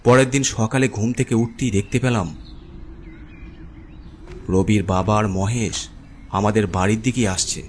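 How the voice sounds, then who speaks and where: A man's voice: fairly low pitch, steady tone, a little slow, pauses often, a little mellow, slight background noise. A man reading a scripted horror story as its narrator.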